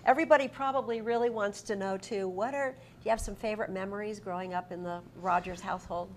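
A woman's voice: medium pitch, steady tone, fast talker, a little hiss or hum. A middle-aged woman with an American accent, interviewing conversationally in a TV studio with effusive, encouraging reactions. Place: TV studio, indoors at a desk. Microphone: lapel mic.

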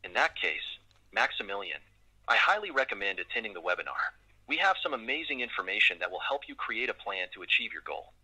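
A man's voice: on the low side, sing-song, fairly quick, sharp tone, breathy edge, low-quality recording. A synthetic male assistant voice making an upbeat scripted customer call, heard through a phone speaker. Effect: played through a phone speaker.

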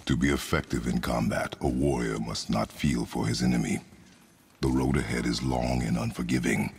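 Gravelly, extremely deep stern warrior father. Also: steady tone, normal speed, full character voice. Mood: stern lecturing. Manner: terse, formal, commanding. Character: stern battle-hardened warrior father, restrained and commanding. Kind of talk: video game voice acting.

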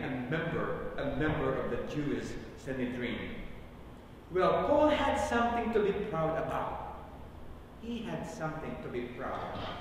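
A middle-aged man preaching a sermon as a pastor, haltingly and repeating phrases for emphasis. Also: on the high side. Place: large church sanctuary, at the pulpit. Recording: very echoey church hall, distant mic, faint noise.

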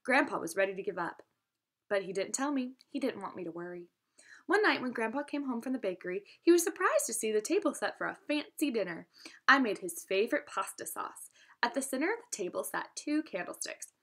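A woman's voice: a little high, lively intonation, a little fast, a bit bright. A young adult woman reading a storybook aloud expressively, in an instructive manner, as a teacher does for students. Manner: instructive, addresses listeners with questions.

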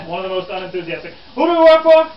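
A man's voice: high voice, animated, fast, over a noisy crowd, heavy background noise, voice pushed up. A man playing a pompous, easily exasperated nineteenth-century newspaper reporter who stammers and hectors his pupils in a live stage show. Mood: bossy, flustered. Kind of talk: living-history stage performance for an audience. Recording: roomy, distant, dull live audience recording.

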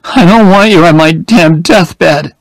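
A man voicing a frail, dying young woman who is tender and stubborn, in a breathy high whisper. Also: slow, no room sound, heavily acted. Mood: fierce.

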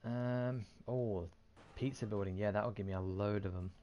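A man's voice: fairly low pitch, steady tone, normal speed, dull audio. A man giving casual gameplay commentary as a streamer, half muttering to himself in a self-deprecating way. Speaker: gaming streamer. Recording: faint game sound behind voice.

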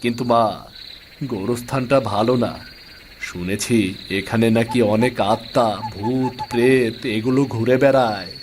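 A man's voice: medium pitch, fairly even intonation, normal speed, background noise, close to an everyday voice. A man voicing an anxious, guilty young husband in a cartoon. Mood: nervous. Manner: anxious, pleading. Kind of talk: Bengali cartoon dubbing.

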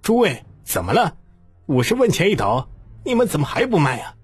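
A man voicing an excitable, self-pitying middle-aged male grain shop clerk in an audiobook, wailing and exclaiming. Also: fairly high pitch, a little fast, echoey room, in a large hall, soft background music, voice pushed up. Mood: baffled.

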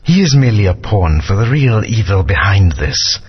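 An actor playing a stern, grave elder of an ancient alien race in a video game, speaking in a measured, authoritative way. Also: male voice, lowish voice, very animated, full character voice. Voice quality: husky. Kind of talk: game voice acting. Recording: dull lo-fi game audio.

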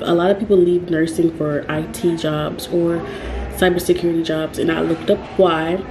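A young woman talks to the camera in a rambling vlog, her voice low and mellow. She speaks in a flat, even tone and often stops to restart her sentences. The room sounds echoey, with faint ambient noise behind her. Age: young adult. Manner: rambling, frequent ums and self-corrections. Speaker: vlogger. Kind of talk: casual talk to camera.

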